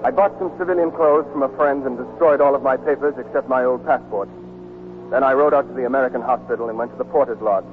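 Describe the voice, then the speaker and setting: A man's voice: medium pitch, fast, close to an everyday voice. An actor playing a weary American army deserter narrating his wartime love story in a 1940s radio drama. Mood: grim narrating. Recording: muffled, noisy 1949 radio broadcast recording.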